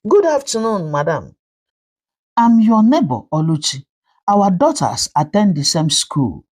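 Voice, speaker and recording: A woman's voice: fairly low pitch, big pitch swings, measured pace, a little mellow. A woman voicing a concerned, polite neighbour mother in a story, earnest and careful.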